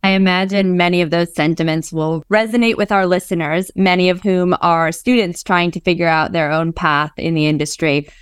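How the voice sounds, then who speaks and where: A woman hosting an interview, asking prepared questions in a measured, polite way. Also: lowish voice, fairly even intonation, clear, resonant tone, no echo, clean studio sound. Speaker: podcast host interviewer.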